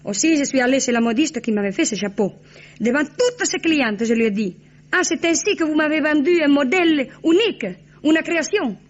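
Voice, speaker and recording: A fiery, theatrical cabaret diva. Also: female voice, sits high, lively intonation. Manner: flamboyant, dramatic, quick to anger. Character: fiery, theatrical Argentine cabaret singer, female. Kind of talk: 1950s radio drama acting. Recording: dull old radio broadcast.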